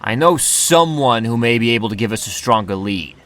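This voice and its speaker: A man's voice: mid-range voice, animated, a little fast, a bit bright, close to an everyday voice. Male actor for hard-boiled radio-play detective. Curt, bossy, impatient, very varied intonation. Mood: guarded, firm. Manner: curt, commanding, terse. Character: gruff, impatient 1920s New York police detective, man. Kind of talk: radio drama acting.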